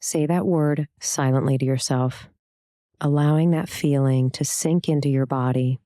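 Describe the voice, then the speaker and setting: A meditation instructor guiding a scripted practice in a calm, soothing, gentle way, leaving long pauses. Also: mid-range voice, no echo, studio quality.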